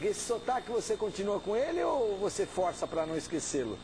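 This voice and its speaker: A man's voice: sits high, sing-song, a little fast, background noise. A man hosting a sports talk show, teasing his guest with playful banter. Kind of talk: relaxed studio interview.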